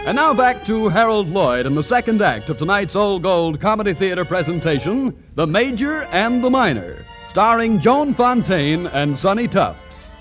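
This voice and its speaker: A man's voice: sits high, big pitch swings, normal speed, hardly any pauses, soft background music. An American man announcing in the polished scripted style of a 1940s radio commercial. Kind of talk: 1940s radio advertising pitch.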